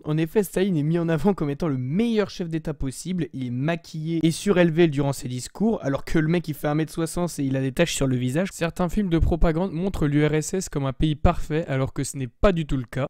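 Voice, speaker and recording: Un jeune homme qui fait réviser l'histoire sur un ton décontracté, ponctué de petites blagues. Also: male voice, on the high side, warm tone, no echo, studio quality. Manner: joking asides, informal. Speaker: educational youtuber. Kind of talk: casual history revision.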